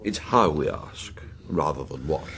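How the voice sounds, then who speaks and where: An actor playing an urbane older British intelligence agent and uncle, wry, avuncular and unflappable, speaking in a leisurely upper-class manner in a radio drama. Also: male voice, extremely low pitch, very animated, measured pace, creaky, a bit bright, exaggerated voice. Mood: droll, reassuring. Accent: British English.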